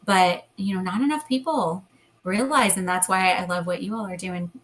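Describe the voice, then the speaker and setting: A woman, a hypnotherapist, leading a guided hypnosis and then chatting as a guest in a calm, gentle way. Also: on the low side, moderate pace, slight background noise. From United States. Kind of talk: guided hypnosis then casual interview chat.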